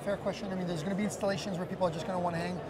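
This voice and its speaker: A man's voice: high voice, flat delivery, rapid-fire. A man in his thirties explaining a product as an executive being interviewed at a busy trade show booth. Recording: loud busy trade show floor noise.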